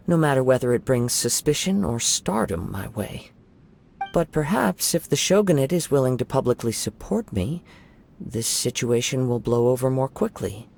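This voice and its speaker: A man voicing a calm, reflective young wandering samurai who speaks in a measured, formal and courteous way, in a game cutscene. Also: mid-range voice, creaky. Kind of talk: video game cutscene dialogue.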